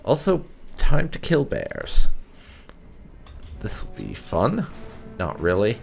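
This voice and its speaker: A man's voice: mid-range voice, huge pitch swings, slow pace, vocal fry. A man giving casual gameplay commentary as a video host, laid-back and dryly joking. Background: game music.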